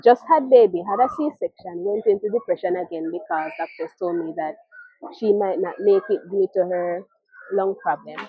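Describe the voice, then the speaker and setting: Muffled narrow-band recording, husky woman, personal storytelling, halting short phrases, pauses and repeats. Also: on the low side, no room sound. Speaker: storyteller, mother sharing her own story. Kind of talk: personal testimony, spoken storytelling.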